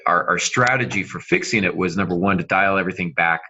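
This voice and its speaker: A very low male voice with a sharp, bright tone, talking casually as a podcast co-host. His delivery is halting and lightly self-mocking. The recording is a little dull and band-limited.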